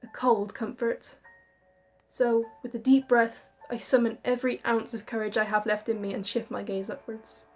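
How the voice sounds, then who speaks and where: A woman hosting a gaming video, reading visual novel narration aloud in an expressive, theatrical way. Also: on the high side, moderate pace. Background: game music.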